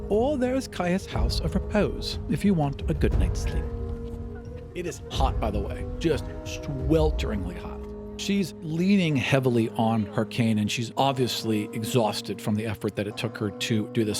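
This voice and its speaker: Male game master, mid-pitched, descriptive fantasy narration for tabletop play, music bed underneath.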